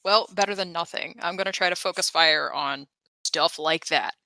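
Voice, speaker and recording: A man with a high, bright voice plays a tabletop game. He speaks casually, in a very dry recording with music behind. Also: moderate pace, dry recording, rough recording.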